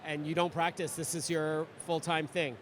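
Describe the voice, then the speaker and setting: A man interviewing a guest at a conference, asking brisk, upbeat questions. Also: on the high side, little pitch movement. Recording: faint street and vehicle noise.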